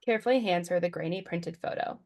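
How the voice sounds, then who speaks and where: A woman reads screenplay action lines as a narrator. Her voice is a little on the low side, with the plain delivery of scripted stage directions. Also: fast talker, a little mellow. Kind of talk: scripted narration at a table read.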